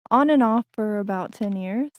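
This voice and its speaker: A woman's voice: medium pitch, a little slow, no room sound, narrow, tinny audio. Nervous, giggly woman in her thirties. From Kentucky. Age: 30s. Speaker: podcast guest.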